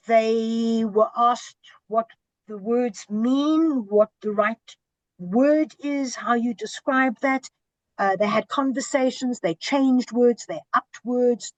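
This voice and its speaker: A woman's voice: on the high side, dry recording, lo-fi sound. A woman, a senior broadcast journalist, speaking earnestly and emphatically in a panel discussion over a video call. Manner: earnest, emphatic, lists and repeats for stress.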